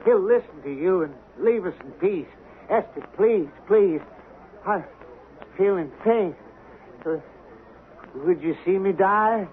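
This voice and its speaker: Anxious, guilt-ridden husband, old radio. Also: male voice, sits high, slow pace, pauses often. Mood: desperate pleading. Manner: agitated, anxious, pleading. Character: guilt-ridden, frightened, pleading older husband, town's respected citizen. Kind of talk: old-time radio drama acting. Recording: muffled, hissy vintage radio recording.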